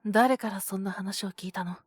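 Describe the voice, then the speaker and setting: A woman voicing a calm, caring grown man, a shop owner, with gentle, measured speech in a Japanese audio drama. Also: slightly rough, no echo, studio quality, natural voice. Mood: grave, apologetic. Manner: gentle, measured, low-key.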